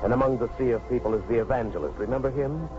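A man's voice: mid-range voice, rapid-fire, lightly acted. A man acting as an American narrator of a 1950s radio drama, confiding and dramatic, building suspense. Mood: suspenseful. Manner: dramatic, present-tense, confiding. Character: suspense-building male storyteller. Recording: dull vintage radio recording. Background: dramatic music.

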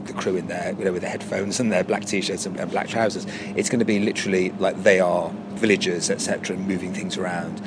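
Man, English accent, lowish pitch, effusive, emphatic, casual interview chat, noisy on-location recording. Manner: effusive, excitable, emphatic repetition. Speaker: pantomime performer being interviewed. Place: inside a large tent, on location. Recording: clear outdoor-like ambient and traffic noise.

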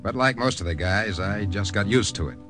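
Man acting wry young corporal telling his war story, lowish voice, old radio show w/ music behind. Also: fairly quick, close to an everyday voice. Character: wry young army corporal, former professor, narrating his war mission. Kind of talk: 1950s radio drama acting and first-person narration.

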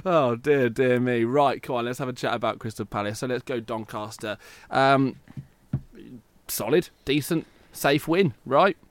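A man's voice: medium pitch, measured pace. A man in his late twenties hosting a casual sports radio chat, joking about himself and laughing often. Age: late 20s. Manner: chatty, laughs often, self-mocking. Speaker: radio show host.

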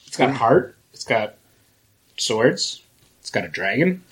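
A podcast guest chatting casually and making quick, playful quips about movies. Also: mid-range voice, very animated, a bit bright, husky, poor audio.

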